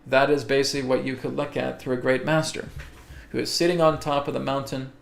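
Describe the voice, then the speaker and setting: A man hosting a live spiritual webcast, answering viewer questions slowly and deliberately and often checking in with "okay?". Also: mid-range voice, level tone, vocal fry, a little hiss or hum. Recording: echoey room.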